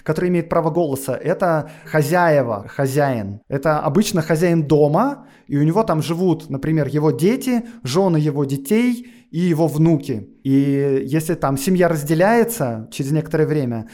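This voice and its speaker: A man's voice: a little high, lively intonation, normal speed, few pauses, dark tone. A man explaining history on a podcast in a lecturing way, with frequent fillers and asides. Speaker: guest historian.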